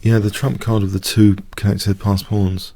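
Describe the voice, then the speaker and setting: A man's voice: fairly low pitch, little pitch movement, moderate pace, gravelly, dark tone, dry recording, faint music behind. A man with a cold commentating on his own blitz chess games, hesitant and prone to stammering restarts. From not from the United States. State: congested with a cold. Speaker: chess streamer.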